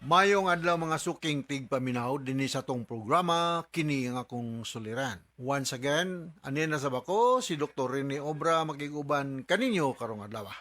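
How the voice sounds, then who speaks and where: A man's voice: mid-range voice, animated, moderate pace, a bit bright. A man with a Cebuano accent presenting a radio advice program as its doctor host, explaining point by point.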